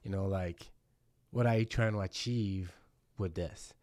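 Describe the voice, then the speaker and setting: A man's voice: sits low, a little slow, darkish tone, no echo. A man hosting a casual podcast conversation, reflective and thinking aloud with many fillers. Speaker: podcast host.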